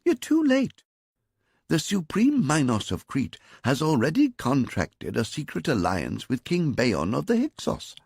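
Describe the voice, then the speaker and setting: A man's voice: fairly high pitch, wildly swooping pitch, measured pace, creaky, a little mellow, slightly rough, no room sound, clean studio sound, voice pushed up, exaggerated voice. A man voicing a sly, gloating, heavyset older male spy with a smug, teasing manner, reading an audiobook.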